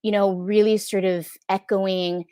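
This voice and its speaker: A woman's voice: medium pitch, little pitch movement, a little slow, dark tone, smooth, dry recording. A middle-aged American woman giving an earnest, thoughtful answer on a video-call panel, often checking for agreement. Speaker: film impact producer, guest. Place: indoor room, on a video call.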